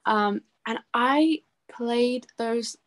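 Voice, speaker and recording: A woman's voice: on the high side, extremely slow. Chatty young woman in an interview. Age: young adult. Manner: chatty, informal, often says "like" and "you know". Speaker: interview guest, singer-songwriter. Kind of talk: casual chat interview.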